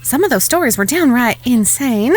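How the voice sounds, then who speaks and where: A woman's voice: a little high, expressive, normal speed. A woman playing a proud, wronged Old West ranch woman with a Southern American accent in a Western audio drama. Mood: gentle, wistful.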